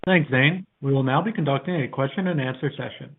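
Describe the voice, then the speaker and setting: A man's voice: medium pitch, brisk, noisy background. A man working as a conference call operator, giving scripted announcements in a formal, procedural manner. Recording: narrowband phone line.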